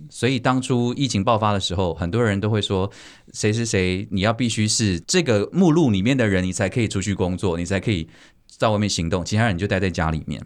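A man with a Taiwanese Mandarin accent hosting a casual panel chat in a playful, teasing, expressive way. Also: fairly low pitch, fast. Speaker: podcast host.

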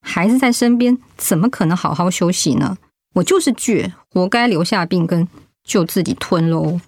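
A woman's voice: on the low side, expressive, normal speed, a little mellow, no echo, studio quality. A woman acting a proud, stubborn middle-aged wife and mother in a radio drama, blunt and sardonic. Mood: bitter, resigned.

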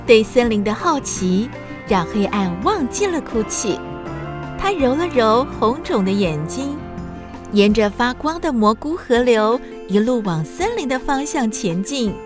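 Female narrator for children's audio drama: slow, highly animated, gentle and dramatic, over clear background music. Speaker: storyteller narrator. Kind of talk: expressive scripted children's story narration.

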